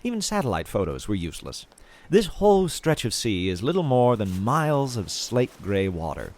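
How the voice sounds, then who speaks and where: A man's voice: lowish voice, big pitch swings, normal speed, darkish tone. A man playing an obsessive, chatty podcaster who confides in his listeners about his treasure-hunting expedition in an audio drama. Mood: intrigued, confiding. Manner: theatrical, confiding, addresses listeners directly. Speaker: podcast host in audio fiction. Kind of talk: audio fiction monologue as a podcast host.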